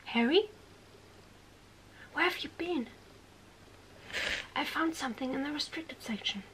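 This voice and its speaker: High, slow-spoken bookish witch played by a woman, whispery ASMR, earnest and bossy, faint noise behind. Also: a bit bright, poor audio. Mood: exasperated. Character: bookish, exasperated young witch lecturing two boys.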